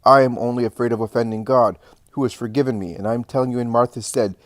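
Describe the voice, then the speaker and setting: A man's voice: mid-range voice, steady tone, quick pace, no echo, studio quality, natural voice. A man voicing a fierce, defiant woman of imperious faith, commanding and thundering, in a dramatic story reading. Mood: wrathful.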